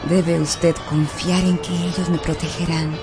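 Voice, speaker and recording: An actress playing a gentle, grateful noblewoman in a radio drama, delicate, sighing and formal. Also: on the low side, level tone, measured pace. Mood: reassuring. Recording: music behind the voice.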